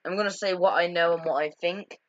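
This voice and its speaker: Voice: mid-range voice, steady tone, fairly quick, no room sound. A dry, slightly muffled home recording of a gamer with a mid-range voice. They give off-the-cuff commentary on game cutscenes, hesitating and correcting themselves in a self-deprecating way. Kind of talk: casual, unscripted game commentary. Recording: slightly dull lo-fi home mic.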